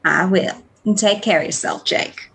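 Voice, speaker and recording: A woman's voice: medium pitch, moderate pace, creaky, a bit bright, husky, heavily acted. An actress playing a wry, savvy female prison guard, bantering dryly in a radio play. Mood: matter-of-fact, friendly. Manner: dry, bantering.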